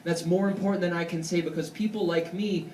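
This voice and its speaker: A man with a high-pitched voice delivers slam poetry in a rhythmic, emphatic cadence. His delivery is theatrical, built on deliberate pauses and repetition. He is heard from a distance in an echoey live venue. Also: fairly even intonation, darkish tone, a little hiss or hum. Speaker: slam poet. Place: indoor poetry slam stage before an audience.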